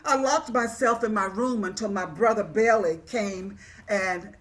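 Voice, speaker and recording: A woman playing a reflective poet and activist in a dramatic reading, telling her story in an earnest, measured way. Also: medium pitch, lightly acted. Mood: grieving.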